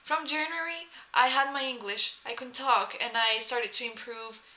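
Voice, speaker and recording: Young French-accented woman, casual vlog chat, fairly high, bright voice. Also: fairly even intonation, low-quality recording. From France. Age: young adult. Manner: chatty, many "um" pauses and restarts. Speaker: vlogger, former exchange student. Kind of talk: casual talk to camera.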